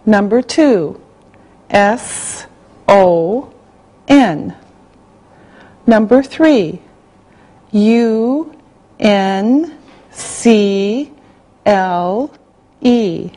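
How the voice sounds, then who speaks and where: A woman reading a language-class listening exercise, spelling out words and dictating sentences slowly and carefully. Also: mid-range voice, lively intonation, dark tone. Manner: slow, carefully spelled out, evenly paced. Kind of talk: slow scripted dictation.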